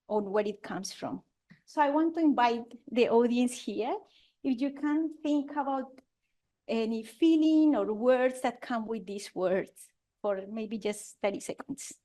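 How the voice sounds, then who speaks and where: A woman's voice: fairly high pitch, mellow. A woman in her forties from Colombia with a Colombian Spanish accent, giving an earnest conference talk as an architect, speaking Spanish-accented English with frequent restarts. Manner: earnest, halting, with restarts and fillers. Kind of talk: conference presentation. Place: lecture venue with audience.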